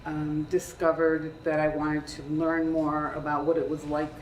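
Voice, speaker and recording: A woman's voice: low-pitched, monotone, measured pace, darkish tone. A woman in her thirties, born in Peru, speaking on a career panel to students in a conversational way and often asking them questions. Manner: conversational, asks the audience questions. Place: lecture room. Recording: echoey room, distant mic, faint hiss.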